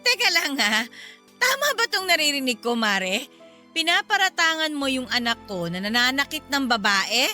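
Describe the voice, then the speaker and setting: A woman's voice: high voice, very animated, moderate pace, sharp tone, soft background music, heavily acted. A woman playing a defensive, sassy middle-aged Filipino mother who protects her son in a radio drama. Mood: defensive, offended.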